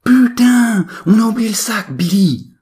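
A man's voice: fairly high pitch, very animated, fairly quick, darkish tone, pitched above the performer's own voice. A man voicing a dim, foul-mouthed young troublemaker, brash, slangy and indignant, in a comic audio story. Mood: panicked.

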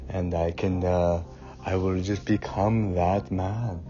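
A man doing a slow, boastful would-be rock star. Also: low-pitched, fairly even intonation, dark tone. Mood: self-assured. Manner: slow, deliberate, grandiose, stilted formal phrasing. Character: boastful self-confident man dreaming of rock stardom. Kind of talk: comic character monologue. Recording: dull lo-fi sound with faint music.

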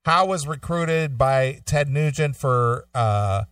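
A man's voice: mid-range voice, animated, takes it slowly, a bit bright, clean tone, no room sound, distant mic. A man co-hosting a casual talk show, reading out news items and commenting in an informal, joking way. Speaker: show co-host.

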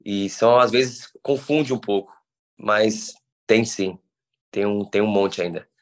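Guest, male, 30s, São Paulo accent, lowish voice, halting and patient. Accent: São Paulo Brazilian Portuguese. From Brazil. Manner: hesitant, restarts words, explains patiently. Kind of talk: conversational interview.

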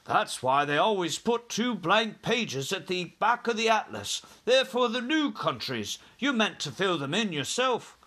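A man's voice: sits high, big pitch swings, unhurried, few pauses, bright, forceful tone, pitched above the performer's own voice, full character voice. A man voicing a cheerful, fearless young military pilot in a storybook, breezy and unflappable.

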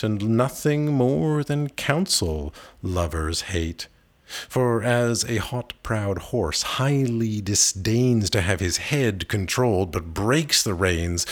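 Low-voiced man reciting poetry, unhurried. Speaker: poetry reader. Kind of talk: expressive literary recitation of narrative verse.

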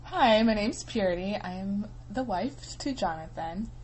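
A young woman in her twenties, from Kenya, talking casually as a podcast guest with easy laughter and some halting pauses. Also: medium pitch, lively intonation, a little hiss or hum, low-quality recording. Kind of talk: casual conversation.

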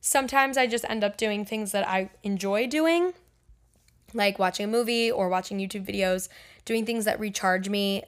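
A woman's voice: mid-range voice, normal speed. A young woman hosting a casual solo podcast, chatty and candid, her talk full of "like" and "um". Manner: chatty, filler-heavy with frequent "like" and "um"; candid and personal. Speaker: podcast host and songwriter.

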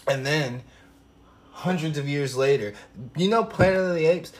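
A man's voice: fairly high pitch, moderate pace. A man in his thirties co-hosting a podcast, blunt and wry with lots of swearing. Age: 30s. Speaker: podcast co-host. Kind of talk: casual chat.

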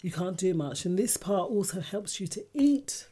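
A woman's voice: mid-range voice, expressive, moderate pace, warm tone, slightly rough. A woman hosting a radio chat show, talking casually and reading out facts.

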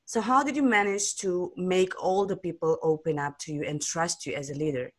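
A woman's voice: on the low side, moderate pace. A woman hosting an interview, asking long, winding questions in an engaged way. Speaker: interview host.

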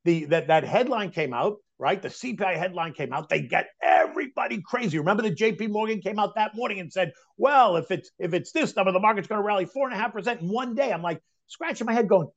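A man in his sixties talks fast and emphatically, his voice sitting high and climbing further when he gets worked up. The sound is a little dull and lo-fi. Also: vocal fry. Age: 60s. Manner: emphatic, excitable market talk. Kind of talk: casual chat.

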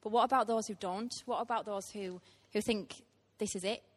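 A woman's voice: medium pitch, fairly even intonation, moderate pace. A woman, preaching a heartfelt sermon to a church with frequent ums. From Teesside, England. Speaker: guest preacher.

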